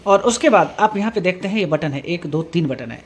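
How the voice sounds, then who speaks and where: A man presenting a hands-on tech tutorial, explaining step by step in a halting, repetitive way. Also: high-pitched, animated. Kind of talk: hands-on product demonstration. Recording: clearly noisy room recording with ambience.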